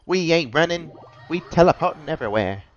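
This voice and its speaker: A woman's voice: sits low, expressive, brisk, highs cut off. A woman giving casual commentary while playing a video game, in short offhand reactions. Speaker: gaming commentator.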